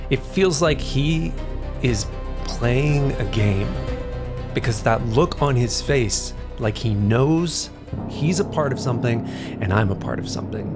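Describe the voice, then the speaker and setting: A man acting a haunted, anxious rideshare driver in a naturalistic thriller, halting and repeating his words, with music behind him. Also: mid-range voice, animated. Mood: uneasy, earnest. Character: haunted, anxious male rideshare driver recounting strange pickups.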